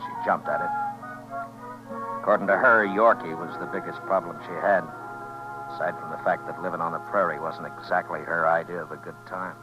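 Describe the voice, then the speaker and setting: A man playing a steady, courteous, laconic lawman in a 1950s radio Western. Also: low-pitched, brisk, music in the background, close to an everyday voice. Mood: measured narrating. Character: steady, courteous, laconic US Marshal in the Old West. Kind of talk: 1950s radio Western acting and first-person narration. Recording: muffled 1950s radio recording.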